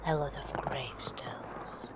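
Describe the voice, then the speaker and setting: An actress playing a mysterious, cold woman guide in an audio drama, speaking in a terse, cryptic, breathy whisper. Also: a little slow, close to an everyday voice. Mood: eerie, cold.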